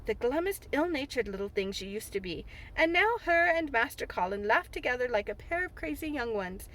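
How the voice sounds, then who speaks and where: A woman's voice: high-pitched, sing-song, a little fast, fairly bright tone, low-quality recording. A woman reading a storybook aloud, voicing a blunt, chatty older housekeeper. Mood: gossipy.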